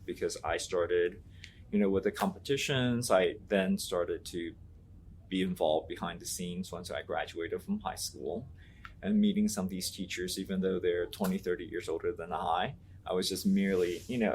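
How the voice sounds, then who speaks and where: A man's voice: sits low, a little hiss or hum. A man from Taiwan whose first language is Mandarin, a Latin teacher giving earnest, reflective interview answers, thinking aloud with fillers and restarts.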